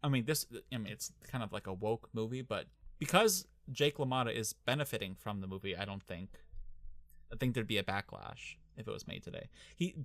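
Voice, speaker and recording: A young man with a Midwestern American accent co-hosting a casual movie podcast, talking in halting bursts full of fillers and restarts. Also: on the low side, fairly bright tone, no room sound. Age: young adult. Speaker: podcast co-host.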